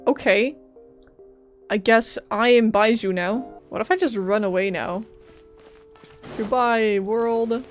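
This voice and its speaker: A woman's voice: fairly high pitch, darkish tone. A young woman doing casual live game commentary as a streamer, with offhand, jokey asides.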